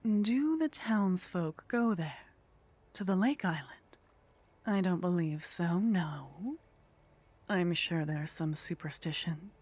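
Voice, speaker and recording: A woman playing a teasing, condescending female vampire in a soft ASMR roleplay, languid and haughty, often slipping into whispers. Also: lowish voice, expressive, slow, breathy edge, full character voice. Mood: wry, coaxing. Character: teasing, condescending female vampire, sly, centuries-worldly contact.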